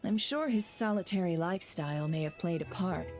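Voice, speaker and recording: A woman playing a composed, shrewd female schemer in an anime game, speaking in a measured, formal, knowing way. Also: fairly low pitch, a little mellow, natural voice. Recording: music playing behind the voice.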